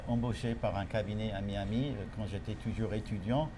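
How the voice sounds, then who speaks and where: A man speaks as an interviewed lawyer. His phrasing is measured and halting as he searches for words, English being his language. Clear ambient noise sits behind him. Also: lowish voice, steady tone. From United States. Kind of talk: interview answers.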